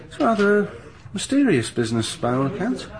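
An actor playing a cheerful, pushy newspaper reporter in a radio drama, chatty and breezy. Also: male voice, high voice, big pitch swings, normal speed, background noise. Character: brash cheerful young male newspaper reporter. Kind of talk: 1980s BBC radio drama acting.

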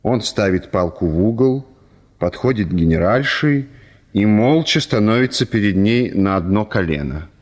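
A man narrating a classic comic story for a radio play in a measured, theatrical storytelling manner. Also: on the low side, animated.

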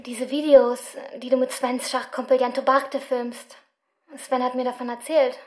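High-voiced, scheming young woman played by an actress. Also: flat delivery, normal speed, a little mellow, natural voice. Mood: threatening. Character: young woman coolly blackmailing someone. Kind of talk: radio drama acting.

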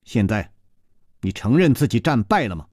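A man voicing a cold, vicious young woman disciple who sneers and then erupts into sharp outbursts, in a storytelling reading. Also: mid-range voice, sing-song, normal speed, no echo, clean studio sound. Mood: sneering.

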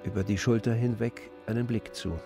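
A man narrating a literary story in a measured, descriptive manner. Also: fairly low pitch, steady tone, music in the background.

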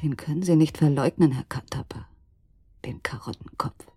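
A woman's voice: deep voice, moderate pace, warm tone, breathy edge, no room sound. An actress playing an anxious, searching woman with an earnest manner in a naturalistic radio drama. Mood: anguished, hushed. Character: anxious, searching woman making tense inquiries. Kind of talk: naturalistic radio drama dialogue and intimate monologue.

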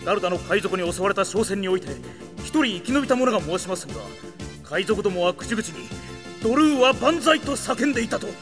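An alert soldier speaks urgently in a high-pitched voice. The anime audio drama has music playing behind him. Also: male voice, lively intonation, a little fast. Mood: urgent report. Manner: urgent, formal. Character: alert, urgent soldier reporting to his lord.

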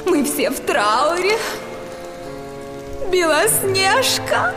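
A woman's voice: very high-pitched, big pitch swings, drawn-out words, heavily acted. An actress playing a vain, wicked queen in a theatrical, haughty manner for an audio fairy-tale play. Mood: feigned grief. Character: vain, wicked queen stepmother, falsely grieving. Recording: long hall reverb, loud music.

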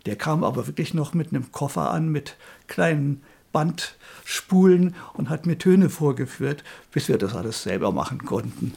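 Older man, husky mellow storyteller. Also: a little high, lively intonation, normal speed, few pauses. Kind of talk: interview reminiscence, spontaneous storytelling.

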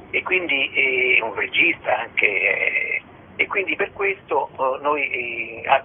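A man giving interview answers as a festival director, haltingly, searching for his words. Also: medium pitch. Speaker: theatre festival artistic director, radio guest. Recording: narrow phone line with background noise.

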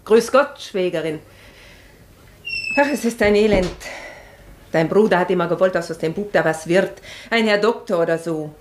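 A woman's voice: lowish voice, normal speed, pauses often, close to an everyday voice. An actress playing a poor, pushy country widow in a German radio play, coaxing and plaintive as a boastful mother.

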